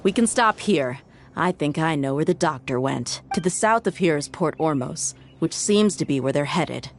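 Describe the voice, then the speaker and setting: A bold mercenary woman in a video game. Her voice sits low for a woman, delivered with easy, decisive confidence. Game music plays steadily behind her. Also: animated, normal speed. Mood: steady.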